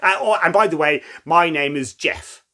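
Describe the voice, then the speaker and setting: A man's voice: a little high, animated, normal speed, sharp tone. A man voicing a friendly, eager talking bunny guide from a storybook.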